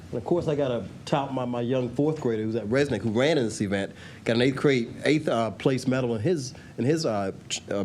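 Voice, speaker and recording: A man board member gives upbeat remarks at a school board meeting. He talks quickly and restarts his sentences often. A faint rumble sits behind him. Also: warm tone.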